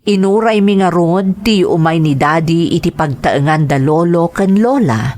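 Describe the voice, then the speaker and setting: A woman's voice: sits low, a little mellow, heavily acted. A woman playing a resentful grown child who narrates pained family memories reflectively in an audio drama. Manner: reflective, storytelling.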